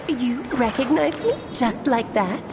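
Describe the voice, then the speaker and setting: A woman's voice: high-pitched, background noise, full character voice. A woman playing a mysterious, playful young female game character with a theatrical, teasing manner. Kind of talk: video game voice acting. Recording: music behind the voice.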